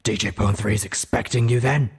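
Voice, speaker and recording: A man's voice: lowish voice, fairly even intonation, fairly quick, breathy edge. A man voicing a sceptical, grudging male guard pony with a curt manner in a storybook reading.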